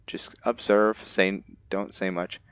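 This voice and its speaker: A man's voice: deep voice, little pitch movement, slow pace, a bit bright, no room sound. A man giving casual roleplay commentary on a game, thinking aloud and slipping into character. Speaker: gaming host. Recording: dull lo-fi mic, music behind.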